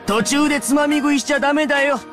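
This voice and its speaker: A kindly middle-aged shopkeeper in a Japanese game, chattering quickly in an avuncular, coaxing manner. Music plays clearly behind him. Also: male voice, extremely high pitch, fairly even intonation. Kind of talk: Japanese visual novel voice acting.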